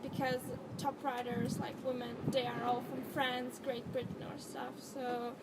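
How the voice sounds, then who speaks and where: A young woman of eighteen from Latvia speaks casually as a panel guest, in a high voice. Loud event ambience and vehicle noise sit behind her. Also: flat delivery, a little slow. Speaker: panel guest, downhill mountain biker. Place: live panel discussion at a sports festival.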